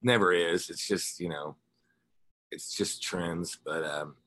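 A man's voice: low-pitched, takes it slowly, fairly bright tone, no echo. An American man from the Ozarks chatting casually as a musician being interviewed, in a rambling, slangy, informal way. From Ozarks, United States. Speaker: interview guest, rock musician.

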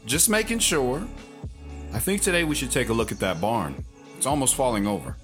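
A man playing a gruff, sardonic farm brother with dry deadpan delivery in an audio drama. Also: medium pitch, brisk, soft background music. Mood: wry, matter-of-fact.

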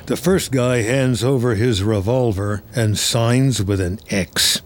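A man's voice: mid-range voice, slow. A man narrating a screenplay in the present tense, as dramatic scripted storytelling.

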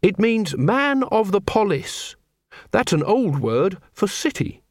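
A man's voice: high voice, sing-song, slow pace, a little mellow, no echo, studio quality, pitched above the performer's own voice, heavily acted. A man voicing an earnest, naive young city watchman who talks eagerly and literally.

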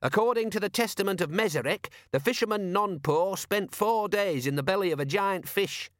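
A zealous, pious audiobook constable, voiced by a man, pitched well up. He is severe and formal, preaching with great earnestness. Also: moderate pace, a bit bright, dry recording, clean studio sound. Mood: solemn.

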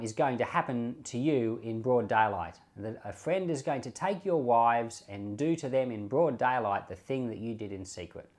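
Male Bible podcast teacher, medium pitch, informal and explanatory, reasons aloud and repeats key points with emphasis. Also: a little mellow. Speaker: pastor and Bible podcast host.

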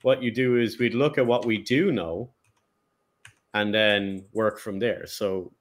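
A man with a fairly low voice talks loosely and rambles, strings his thoughts together with "like" and "you know". It is informal podcast conversation about a game world. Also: steady tone. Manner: loose, rambling, with quick mimicking asides. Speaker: podcast co-host. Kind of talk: casual chat.

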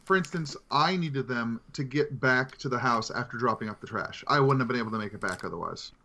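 A man's voice: mid-range voice, fairly quick, pushed and bright. A man co-hosting a podcast in casual chat, joking and self-deprecating. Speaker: podcast co-host.

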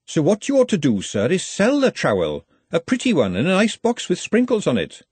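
A man's voice: high voice, wildly swooping pitch, a little fast, a little mellow, husky, dry recording, voice pushed up, exaggerated voice. A man voicing a down-to-earth working woman, confident and persuasive, in an audiobook. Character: brisk, down-to-earth working woman selling cosmetics. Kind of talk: audiobook character acting.

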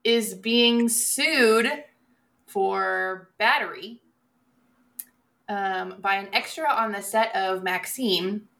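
A woman's voice: medium pitch, very slow, gravelly, a bit bright. A woman co-hosting a podcast in casual chat, with dry, offhand humour. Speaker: co-host of a horror movie podcast.

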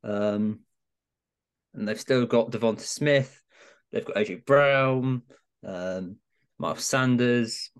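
A man's voice: medium pitch, slow, pauses often, clean tone. A man giving casual, analytical opinions as a guest pundit on a sports podcast.

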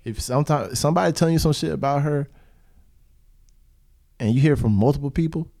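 A man's voice: on the high side, fairly quick, vocal fry, dark tone. A man hosting a casual podcast chat, joking, swearing freely and laughing often. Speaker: podcast host.

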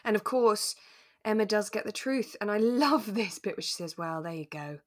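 Relaxed woman, close dry sound. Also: medium pitch, moderate pace, no echo. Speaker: podcast co-host. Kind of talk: relaxed casual discussion of a radio drama.

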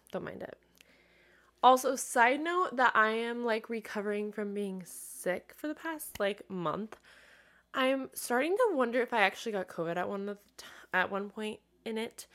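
A woman's voice: a little high, fairly bright tone. A young woman talks straight into a podcast mic in loose, rambling solo chat. Her voice is stuffy and nasal from a recent illness. She leans on "like" over and over as she goes. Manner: chatty, fills with "like". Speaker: podcast host.

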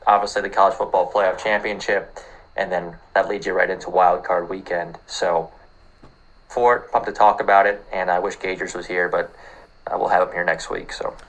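A man with a somewhat low voice co-hosts a loose sports podcast. He talks in a laid-back way, fills pauses with ums and swears offhandedly. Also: level tone, rough recording. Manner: laid-back, filler-heavy, swears casually. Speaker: podcast co-host. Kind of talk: casual sports betting chat.

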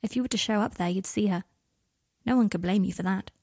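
A woman's voice: medium pitch, fast talker, a little mellow, no echo, studio quality, close to an everyday voice. A woman voicing a lovesick, remorseful young man who pleads earnestly in an audiobook story. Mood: subdued, earnest.

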